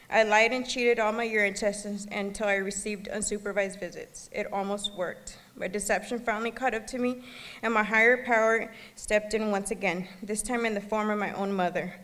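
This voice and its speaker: A woman's voice: mid-range voice, fairly bright tone. Woman giving testimony in a reverberant hall. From El Salvador. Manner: earnest, halting, occasional restarts. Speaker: gala speaker. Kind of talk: personal testimony speech. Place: gala banquet hall. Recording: long reverb of a large hall.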